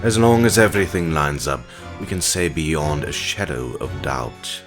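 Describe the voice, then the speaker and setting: A scornful courtroom villain with a very low voice that swoops theatrically. He is pompous, condescending and grand, as in an audio drama, with music clearly behind him. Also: lively intonation, moderate pace. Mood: smug, triumphant. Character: pompous, scornful villain professor arguing as prosecutor.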